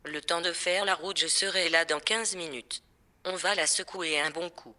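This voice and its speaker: An actress as a helpful female friend on the phone in a French audio drama. Her voice is very sharp and bright through the phone filter, with a brisk manner. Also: fairly low pitch, fairly even intonation. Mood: resolute. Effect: telephone filter.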